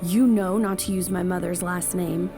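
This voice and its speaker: A woman voicing a brisk, blunt young doctor who speaks matter-of-factly. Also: lowish voice, steady tone, over music, natural voice.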